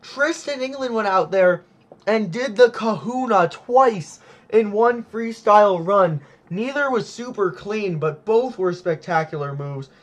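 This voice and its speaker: Man, excited play-by-play commentator, high voice, unhurried delivery, losing his voice. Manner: hyped, exclaims often. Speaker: commentator for a simulated monster truck series.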